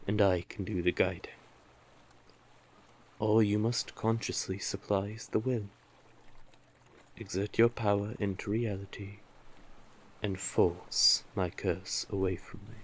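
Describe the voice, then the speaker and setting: A man playing a cursed, tentacled male sea witch in an audio roleplay, coaxing and stately, doting yet commanding. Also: lowish voice. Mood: coaxing, patient. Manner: coaxing, stately, elaborately worded. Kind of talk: scripted audio roleplay addressed to the listener. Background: ambient sound effects.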